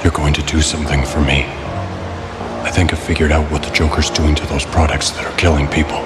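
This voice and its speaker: An actor playing a brooding, secretive male vigilante in an audio drama, speaking tersely and gravely. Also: rumbling low, flat delivery, fairly quick. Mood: grim, guarded. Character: brooding masked male vigilante, secretly a troubled rich man. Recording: echoey, loud music underneath.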